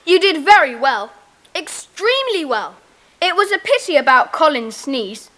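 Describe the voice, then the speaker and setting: A woman playing a confident, bossy schoolboy leader, brisk and decisive, in a children's audiobook drama. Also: high voice, big pitch swings, a bit bright.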